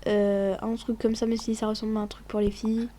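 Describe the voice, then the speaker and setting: A woman's voice: fairly high pitch, flat delivery, a little fast, dark tone, a little hiss or hum. A woman with a cold, sniffling as she chats casually over her gameplay, rambling and often dropping to a whisper. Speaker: gaming vlogger.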